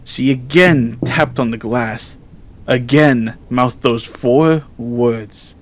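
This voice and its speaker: A man reading scripted horror fiction as narrator in a measured, solemn storytelling manner. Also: medium pitch, slight background noise.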